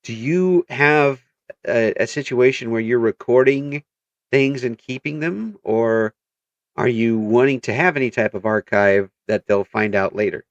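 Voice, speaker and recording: A man hosting a casual interview, chatty and quick to laugh, often trailing off and restarting his sentences. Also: mid-range voice, dry recording, dull audio. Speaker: interview host.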